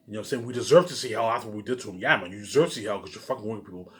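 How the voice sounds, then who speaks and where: A man's voice: lowish voice, rapid-fire, fairly bright tone. A man delivering a rambling, emphatic monologue straight to his viewers, repetitive, profane and accusatory in manner. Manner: emphatic and repetitive, punctuated with muttered tag words. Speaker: vlogger.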